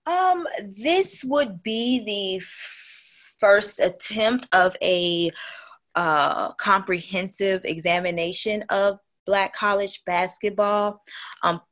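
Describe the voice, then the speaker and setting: A young woman speaking as an academic guest, explaining in halting, measured phrases with frequent pauses. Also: mid-range voice, fairly bright tone. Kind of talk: explanatory interview talk.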